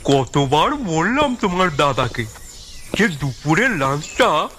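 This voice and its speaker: A man's voice: on the high side, big pitch swings, takes it slowly, sharp tone, a little hiss or hum, full character voice. A man playing a genial, fussy, slightly timid writer in an audio story, with a wheedling, theatrical manner. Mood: chatty complaining. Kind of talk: audio story character acting.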